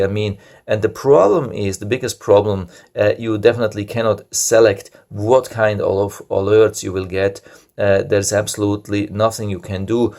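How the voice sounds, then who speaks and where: A man with a fairly low voice talks through a product review without a script. He explains how things work in plain, casual words, circles back to his main points and is frank and critical about shortcomings. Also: darkish tone.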